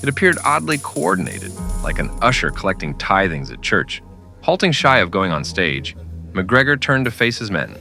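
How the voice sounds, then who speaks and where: Low, forceful male western narrator. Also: sing-song, moderate pace, vocal fry, soft background music. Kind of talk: scripted western story narration in old-time radio style.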